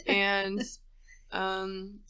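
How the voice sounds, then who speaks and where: A young woman from the United States with a deep voice, joking around as a podcast co-host. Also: monotone, extremely slow, sharp tone, smooth, soft background music, poor audio. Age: young adult. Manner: playful, jokey. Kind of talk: casual chat.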